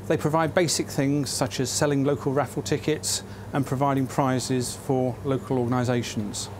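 A man's voice: medium pitch, flat delivery, moderate pace. A man giving an explanatory talk as an adviser. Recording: clear outdoor ambient noise.

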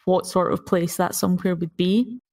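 A woman's voice: lowish voice, moderate pace, darkish tone, far from the mic. A woman co-hosting a podcast in casual conversation. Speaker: podcast co-host and player. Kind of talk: casual chat.

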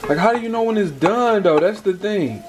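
A man's voice: high voice, expressive, moderate pace. A man with an American accent chatting casually as an amateur home cook, muttering and repeating himself in bewilderment. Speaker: amateur vlogger cooking at home. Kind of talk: casual vlog chat. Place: small home kitchen, indoors. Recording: kitchen clatter and sizzling noise.

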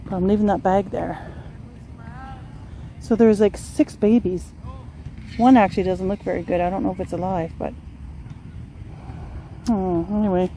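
Woman, everyday pitch, soft-edged mellow tone, unscripted animal-rescue vlog, slight background noise on a handheld phone. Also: fairly even intonation, moderate pace, pauses often, poor audio. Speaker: vlogger filming an animal rescue. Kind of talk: casual unscripted vlog narration. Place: outdoors on grass, later indoors and in a car.

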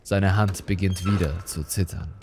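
A man's voice: low voice, steady tone, measured pace, gravelly, darkish tone, slight background noise. A man reading a scripted horror story as narrator, with dramatic delivery.

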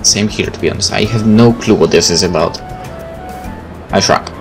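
A male gaming host, a native Polish speaker, with a fairly low voice. He reads game text and comments in a casual way. Soft music plays underneath. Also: normal speed, gravelly. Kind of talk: game let's play, reading text aloud with casual commentary. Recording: faint game music behind.

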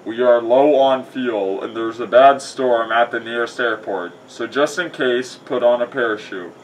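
A man voicing an airline pilot making calm, deliberate emergency announcements in a story. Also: medium pitch, distant mic, a little hiss or hum, lightly acted, low-quality recording. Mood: matter-of-fact announcing.

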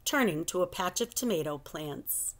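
A middle-aged woman reading a children's book aloud in an expressive, animated storytelling style at home. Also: on the low side, normal speed, a little hiss or hum. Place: living room at home.

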